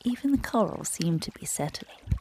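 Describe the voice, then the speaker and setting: A woman guiding children through a relaxation and bedtime story in a gentle, soothing, breathy voice that often drops close to a whisper. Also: lowish voice, big pitch swings, moderate pace, slight background noise. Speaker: meditation guide and bedtime storyteller.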